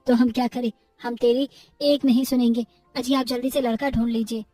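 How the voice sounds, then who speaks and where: A woman's voice: fairly high pitch, steady tone, brisk, dark tone, dry recording, recorded from a distance, soft background music, natural voice. A woman voicing a stern middle-aged mother in a cartoon story, brusque, scolding and accusing. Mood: angry, accusing.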